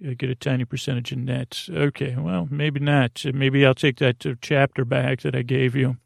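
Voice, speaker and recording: A man with a creaky voice hosting a bedtime podcast, rambling soothingly and hesitantly through whimsical tangents. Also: mid-range voice, steady tone, normal speed, no room sound, clean studio sound. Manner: soothing, lulling delivery. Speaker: podcast host.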